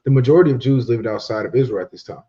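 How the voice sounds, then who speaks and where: A man's voice: medium pitch, racing, mellow, dull audio. A man co-teaching a bible study, explaining history at length from his notes. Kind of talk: casual teaching talk.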